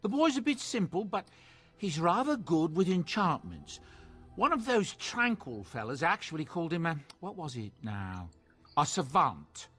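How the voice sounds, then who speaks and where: A man's voice: a little high, big pitch swings, a little slow, fairly bright tone, breathy edge, full character voice. A man playing a genial middle-aged dwarf merchant in a fantasy game, a proud father with a folksy, wordy salesman's manner. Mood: genial, musing. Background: game music.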